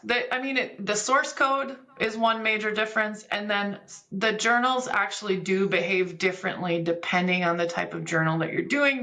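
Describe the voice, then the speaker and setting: A woman's voice: on the low side, vocal fry, fairly bright tone. A woman giving a conversational technical explanation as an expert guest, walking through things step by step with examples. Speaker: podcast guest, business software expert.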